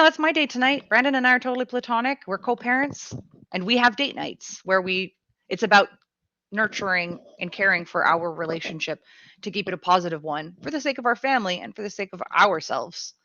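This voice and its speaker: A woman's voice: mid-range voice, a little fast, pushed and bright, dry recording, highs cut off. A woman hosting a radio talk show, chatting frankly and swearing casually while sick with a cough and a tight chest, reading out listener comments. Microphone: close studio mic.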